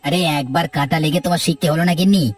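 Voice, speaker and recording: A man's voice: a little high, rapid-fire, distant mic, music in the background, natural voice. A man voicing an exasperated, sarcastic husband in a Bengali family cartoon, with a dry, chiding manner. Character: exasperated, sarcastic husband scolding his greedy wife.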